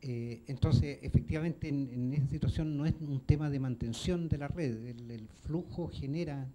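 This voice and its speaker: A man from Chile in the audience making a measured comment and asking a question, pausing with fillers. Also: mid-range voice, noisy background. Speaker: audience member. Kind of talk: question from the floor.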